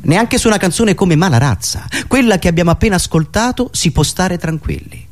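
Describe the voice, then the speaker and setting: A man from Italy performing a theatrical comic monologue as a satirist, dramatic and emphatic, with drawn-out pauses. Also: high voice, animated.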